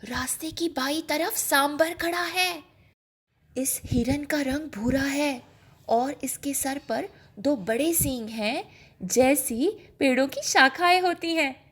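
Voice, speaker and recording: A woman's voice: high-pitched, takes it slowly. A woman voicing a friendly uncle who works as a forest guide, explaining things in a children's story. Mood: hushed, excited. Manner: whispering, explanatory.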